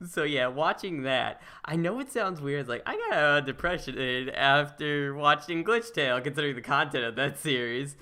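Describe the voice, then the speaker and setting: A man hosting a casual podcast interview, talking in a rambling, halting way and often repeating his words. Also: on the high side, pushed and bright. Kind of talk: casual chat.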